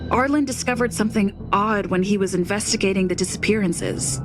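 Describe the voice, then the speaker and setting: An actress playing a composed, methodical young woman in a video game, testifying in a measured, precise, matter-of-fact way. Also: medium pitch, music in the background, lightly acted. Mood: recounting.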